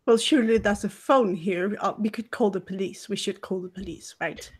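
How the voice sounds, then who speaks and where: A woman's voice: medium pitch, moderate pace, husky, lightly acted. A woman playing a flustered, genteel lady dinner guest who falters and repeats herself in a roleplay game. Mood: anxious.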